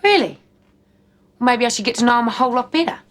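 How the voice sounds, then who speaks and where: A woman's voice: on the high side, animated, fast talker, natural voice. Actress doing a young kiwi country girl, stubborn and defensive, lots of up and down in her voice. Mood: defiant. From rural New Zealand. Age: young adult. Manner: defiant, defensive. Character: headstrong young woman from the country. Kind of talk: 1990s TV soap acting.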